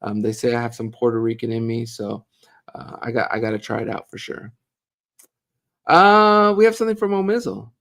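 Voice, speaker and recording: A middle-aged man co-hosting a casual live Q&A, chatting easily and reading viewer comments aloud with a joking, relaxed manner. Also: medium pitch, normal speed, gravelly. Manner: chatty, jokey, reads viewer questions aloud.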